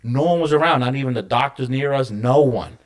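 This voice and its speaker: A man co-hosting a podcast in loose, relaxed chat. He tells stories with animated energy, swears freely, and throws in slang, speaking in an ordinary mid-range voice. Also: medium pitch, fairly quick, slight background noise. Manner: animated, profane storytelling. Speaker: podcast co-host; hospital medical worker.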